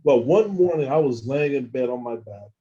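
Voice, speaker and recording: A man telling his own experiences as an interview guest, halting and often repeating words as he restarts sentences. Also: mid-range voice, lively intonation, mellow, muffled recording. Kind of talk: casual first-person account.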